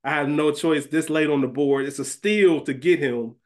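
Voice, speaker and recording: A man's voice: on the high side, normal speed. A man co-hosting a podcast, chatting casually and slangily and repeating phrases for emphasis. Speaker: podcast co-host. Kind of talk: casual fantasy-draft banter.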